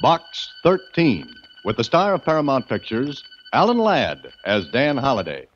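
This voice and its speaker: A man's voice: mid-range voice, wildly swooping pitch, a little slow. Formal old-time radio announcer, man. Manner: formal, declamatory. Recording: lo-fi 1940s radio with music.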